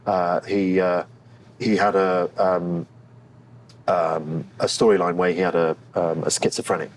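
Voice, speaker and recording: A low-pitched man talks candidly as an interviewed actor. He is thoughtful and halting, searching for the right words as he goes. There is clear background noise around his voice. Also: flat delivery.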